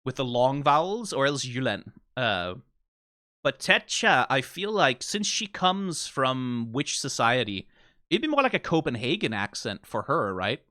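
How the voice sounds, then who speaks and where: Man, danish accent, rambling casual chat, bright tense voice, dry close sound. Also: medium pitch, big pitch swings, unhurried, no echo. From Denmark. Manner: chatty, tangent-prone, swears casually, frequent "like" and "right?". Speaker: reaction video host.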